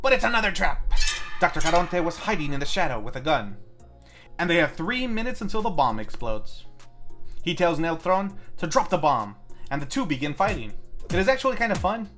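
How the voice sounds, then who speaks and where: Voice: medium pitch, a bit bright. A film review host narrating a scripted plot recap with tongue-in-cheek asides. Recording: music under the voice.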